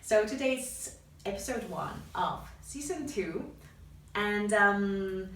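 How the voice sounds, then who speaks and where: A woman from Spain with a Spanish accent, co-hosting a podcast in casual, energetic chat and laughing often. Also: mid-range voice, slow. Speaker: podcast co-host. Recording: echoey room, mic at a distance.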